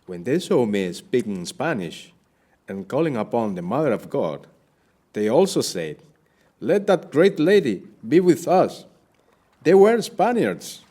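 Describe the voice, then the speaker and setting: A man's voice: mid-range voice, very animated, a little slow, warm tone. An actor playing a weary, devout shipwrecked Spanish captain in an audio play, telling his story formally and dramatically. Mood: earnest, devout. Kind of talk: audio play monologue and dialogue.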